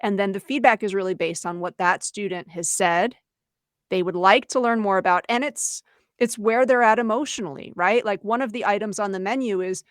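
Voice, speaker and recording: A woman's voice: mid-range voice, moderate pace, no echo, studio quality. A woman co-hosting an educators' webinar, talking conversationally in an explanatory way, voicing example lines and checking in with the listener. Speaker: podcast co-host and educator. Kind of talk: conversational webinar talk for teachers.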